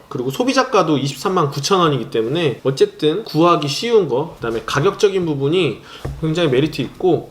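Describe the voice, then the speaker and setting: Sneaker reviewer, young man, a bit higher voice, calm and thorough. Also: a little hiss or hum. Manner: calm, detailed, showing items to camera. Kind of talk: casual product review.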